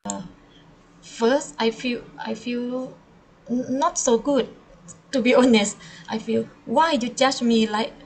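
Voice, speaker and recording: Slow-speaking woman. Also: on the high side, poor audio. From Thailand. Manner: halting, searching for words. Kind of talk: conversation.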